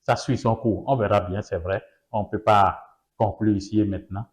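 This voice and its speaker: A man's voice: lowish voice, steady tone, normal speed. A man whose mother tongue is Yoruba, a political guest in an interview, speaking emphatically with repeated phrases and rhetorical questions.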